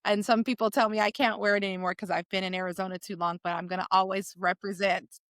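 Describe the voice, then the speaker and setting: A woman's voice: medium pitch, flat delivery, quick pace, fairly bright tone, no echo, clean studio sound. A woman from Southern California hosting an interview, upbeat and encouraging toward her guest. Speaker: podcast host.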